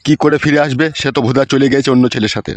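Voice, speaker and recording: A man's voice: medium pitch, fairly even intonation, racing, no room sound, close to an everyday voice. A man voicing an aggrieved, boastful old village husband in a comic cartoon, cursing and grumbling in a rural West Bengal accent. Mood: bewildered.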